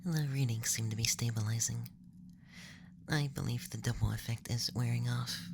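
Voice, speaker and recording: A man playing a gentle, apologetic alien examiner in soft-spoken, often whispered ASMR roleplay, close to the microphone. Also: mid-range voice, fairly even intonation, normal speed, vocal fry, background noise, lightly acted. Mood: calm, gentle. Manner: hushed, often whispering, soothing. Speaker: ASMR performer.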